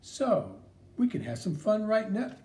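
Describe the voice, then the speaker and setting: A loud, sneering schoolyard bully, put on by a man reading a storybook. He jeers and drags his words out mockingly. The tone is mellow. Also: high voice, very animated, unhurried, distant mic, pitched above the performer's own voice, full character voice. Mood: taunting. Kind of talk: audiobook character reading.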